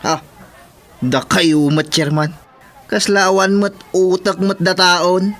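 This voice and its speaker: A man's voice: sits high, steady tone, slow pace, a bit bright. A man playing a touchy village councilor, sidekick to the chairman, in a rural radio comedy drama. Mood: indignant.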